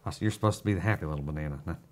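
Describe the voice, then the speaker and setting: A man's voice: very deep voice, racing, slight background noise. A man building a gaming computer on a live stream, joking dryly with his co-host. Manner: teasing, dry humor. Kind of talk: casual live-stream banter.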